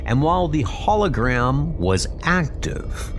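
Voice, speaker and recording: A man voicing an earnest, authoritative superhero team leader in a comic book dub, with a brisk, orderly, commanding manner. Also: a little high, sing-song, background noise, exaggerated voice. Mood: businesslike. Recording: music bed under the voice.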